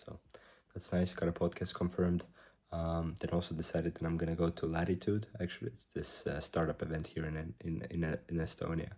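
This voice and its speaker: A man in his twenties, a startup founder, recording a rambling video diary at a hushed volume while slightly sick with a cough. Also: low voice, level tone, breathy edge. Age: 20s. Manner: rambling, halting, self-correcting; keeps his voice down.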